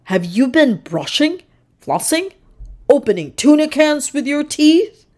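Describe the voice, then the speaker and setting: A woman voicing an excitable, bossy but kindly male dolphin dentist in a children's storybook, exclaiming and drawing out words. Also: high voice, voice pushed up. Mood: bossy, disgusted. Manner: emphatic, exclaiming, drawn-out words. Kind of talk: children's storybook character reading.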